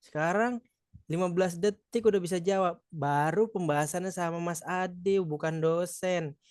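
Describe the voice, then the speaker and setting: A man's voice: high-pitched, normal speed, darkish tone, clear, resonant tone, no echo, clean studio sound. A man from Madura with a Madurese accent, tutoring a live online exam practice session, chatty and encouraging, joking with participants.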